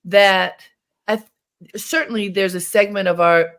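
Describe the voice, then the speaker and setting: A woman hosting an interview who speaks in a thoughtful, measured way and readily agrees with her guest. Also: mid-range voice, fairly even intonation, a bit bright. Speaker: host. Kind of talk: conversational interview.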